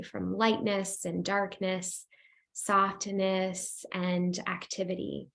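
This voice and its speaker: A woman yoga teacher guiding a restorative class in a gentle, unhurried, invitational way. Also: mid-range voice, gravelly. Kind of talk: slow guided restorative yoga instruction. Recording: roomy echo, distant microphone.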